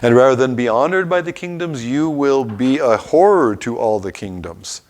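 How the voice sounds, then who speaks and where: Man, Bible class teacher, reads passages aloud, explains in between, mid-pitched voice. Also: expressive, normal speed. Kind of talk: Bible survey class, reading scripture aloud with comments.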